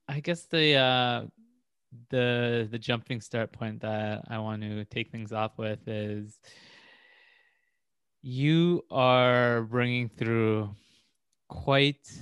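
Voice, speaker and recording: A man hosting a spiritual podcast, speaking in a measured, earnest way with drawn-out phrases. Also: medium pitch, fairly bright tone, clear, resonant tone. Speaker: podcast host. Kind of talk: spiritual podcast intro and interview.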